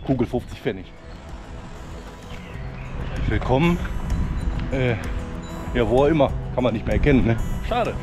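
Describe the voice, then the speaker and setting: A man's voice: medium pitch, lively intonation, moderate pace, long pauses, over music. Chatty man walking outdoors. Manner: chatty, frank, with fillers and regional slang. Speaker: vlogger, urban hiking guide. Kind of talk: casual walking vlog commentary. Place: outdoors on city streets and parks. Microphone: chest-mounted action camera.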